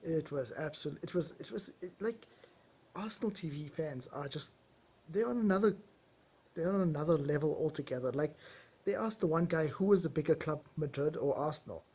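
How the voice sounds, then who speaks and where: A man calling in remotely to a sports podcast, his voice a little husky and set slightly higher than most men's. He tells stories with animated emphasis and keeps hitting his points with rhetorical questions. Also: fairly quick. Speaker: guest caller. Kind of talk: casual sports banter. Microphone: remote call over weak Wi-Fi.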